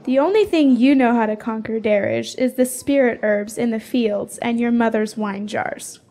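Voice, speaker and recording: A woman's voice: on the high side, normal speed, warm tone, close to an everyday voice. A woman playing a fierce, proud young warrior in a fantasy radio drama, bold and blunt in her delivery. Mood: defiant. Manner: bold, boastful, declarative.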